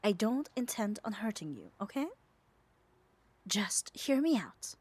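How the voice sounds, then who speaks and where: A woman's voice: mid-range voice, sing-song, measured pace, darkish tone, husky. A woman playing a muscular, possessive yet caring yandere in an ASMR roleplay, speaking with coaxing, tender firmness. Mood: calm, coaxing. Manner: coaxing, firm, tender reassurance. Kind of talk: ASMR roleplay audio drama.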